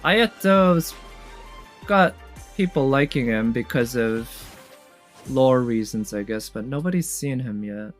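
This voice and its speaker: A man's voice: mid-range voice, lively intonation, takes it slowly. Enthusiastic man commentating over music. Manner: enthusiastic, thinking aloud in fragments. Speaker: streamer reviewing game trailers. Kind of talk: casual live commentary. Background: game trailer music.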